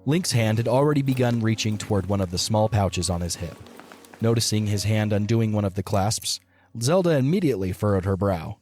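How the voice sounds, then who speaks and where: A man's voice: lowish voice, expressive, a little fast, darkish tone. A man reading scripted narration for an audio story. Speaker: narrator of a fan audio drama. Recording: music under the voice. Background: music.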